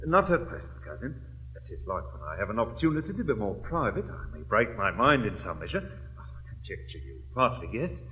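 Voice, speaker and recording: An actor playing a bashful, blustering country squire in a period comedy, rambling and repeating himself in an English accent. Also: male voice, on the low side, sing-song, fairly quick, pushed and bright, a little hiss or hum, low-quality recording. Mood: blustering, embarrassed. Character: bashful, blustering, good-natured country squire, clumsy suitor. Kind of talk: classic stage comedy acting.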